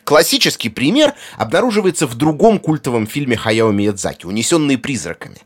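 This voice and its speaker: Expressive man presenting a music lecture. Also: mid-range voice, a little fast, husky. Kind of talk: explanatory video essay narration on film music.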